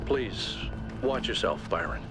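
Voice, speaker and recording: A man playing a cautious, concerned colleague in a video game, speaking in an earnest, measured and hesitant way. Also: on the low side, lively intonation. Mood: worried. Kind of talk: naturalistic video game voice acting. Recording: music behind the voice. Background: game music.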